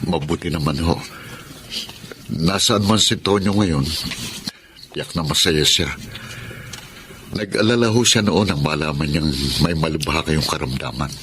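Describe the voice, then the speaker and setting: A man's voice: extremely low pitch, a little slow, pauses often, fairly bright tone, slight background noise. A man playing a humble, hopeful reformed thief in a Filipino radio drama, with a Filipino accent. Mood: respectful, consoling. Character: humble, earnest reformed snatcher, hopeful working husband. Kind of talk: Filipino radio drama acting and reflective narration. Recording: dramatic music behind the voice.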